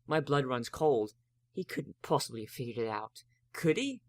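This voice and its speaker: A man giving a dramatic first-person reading of a story, expressive and wry. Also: mid-range voice, normal speed, no echo. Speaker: narrator of a fan fiction reading.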